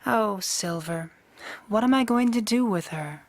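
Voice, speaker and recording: A woman acting a stern, weary, duty-bound pony princess in a radio drama, speaking formally and gravely. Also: medium pitch, expressive, unhurried, background noise. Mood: weary, regretful.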